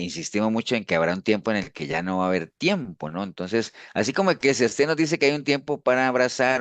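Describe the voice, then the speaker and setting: Low-voiced man teaching a congregation. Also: dry recording. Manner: conversational, frequent "¿no?" tags and restarts. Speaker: pastor.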